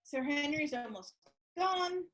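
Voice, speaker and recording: A woman's voice: sits high, animated, slow, a bit bright. A woman reading out stage directions as scripted narration.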